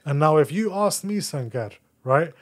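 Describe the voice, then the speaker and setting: A man talking as a podcast guest, explaining his views in an animated, blunt and casual way. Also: a little high, normal speed. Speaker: podcast guest, article writer. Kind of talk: casual opinionated discussion.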